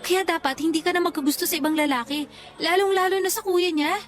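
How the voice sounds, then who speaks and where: A woman's voice: very high voice, fast, soft background music. A woman playing a sassy, generous best friend who likes to scold, in a radio drama. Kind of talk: Tagalog radio drama dialogue.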